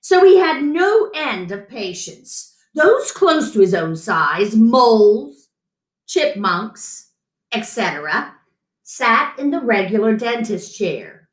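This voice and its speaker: Woman, middle-aged, story-time read-aloud, unhurried, echoey room. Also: a little high. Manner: animated, chatting to an unseen guest between lines. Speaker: children's story time reader. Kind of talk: expressive picture-book read-aloud. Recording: roomy echo.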